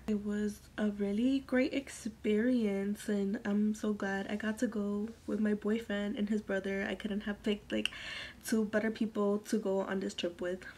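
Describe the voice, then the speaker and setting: A woman's voice: medium pitch, creaky. Young woman vlogging in her bedroom, rambly, lots of likes and ums, close phone mic. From Massachusetts. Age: young adult. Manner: rambling, filler-heavy, trailing off softly. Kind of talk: casual chat to camera. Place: bedroom, indoors. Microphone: phone or webcam at arm's length.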